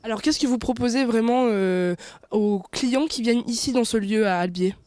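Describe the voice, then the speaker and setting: A woman's voice: on the high side, normal speed, darkish tone, no echo, studio quality. A woman interviewing locals as a courteous regional TV reporter, asking questions and recapping answers.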